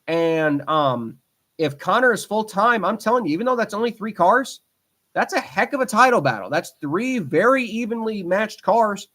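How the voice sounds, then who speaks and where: A man in his twenties hosts a casual podcast by himself. His voice sits a little on the high side. He rambles with plenty of ums and restarts, and he talks with a fan's eager enthusiasm. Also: expressive, normal speed, sharp tone. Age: 20s. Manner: rambling, frequent fillers and restarts; enthusiastic fan talk. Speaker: podcast host. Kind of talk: casual solo podcast chat.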